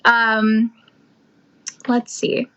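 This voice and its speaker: A woman's voice: a little high, monotone, extremely slow, pushed and bright, clear, resonant tone, muffled recording. A woman host opening a live show over a video call, chatty and a bit flustered. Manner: chatty, apologetic about fumbling. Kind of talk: show intro.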